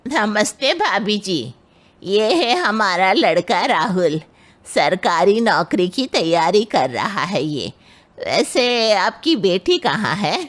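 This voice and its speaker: A woman's voice: mid-range voice, very animated, slow. A woman voicing a traditional, demanding middle-aged mother-in-law in a dramatized moral story. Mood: polite.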